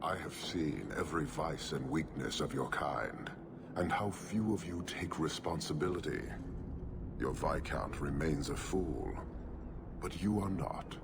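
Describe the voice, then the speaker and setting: An actor voicing a stern, commanding giant warrior leader in a video game, speaking in a measured, blunt way. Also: male voice. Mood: stern, grave. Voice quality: very deep, gravelly. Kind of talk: dramatic video game acting. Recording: loud ambient noise behind.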